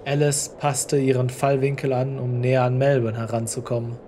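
A male narrator reads a scripted story. His voice is mid-pitched with a warm, mellow tone. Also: flat delivery, moderate pace, a little hiss or hum.

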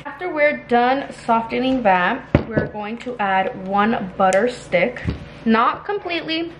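Casting: young female home baking vlogger, fairly high voice, informal and chatty, clearly noisy kitchen recording. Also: animated, slow, dull audio. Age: young adult. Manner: informal, chatty, occasionally crude. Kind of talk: casual instructional chat to viewers. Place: small home kitchen, indoors.

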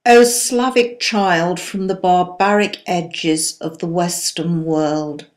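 A woman reciting poetry in a solemn, deliberate, dramatic and declamatory manner. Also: on the low side, steady tone. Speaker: poetry reader.

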